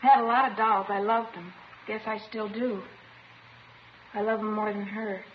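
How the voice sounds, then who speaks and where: A woman's voice: mid-range voice, fairly even intonation, moderate pace, close to an everyday voice. A woman playing a sullen, defensive schoolgirl in a 1950s radio crime drama, petulant and emotionally detached. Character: sullen, defensive, childlike schoolgirl confessing to police. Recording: muffled, noisy old 1950s radio recording.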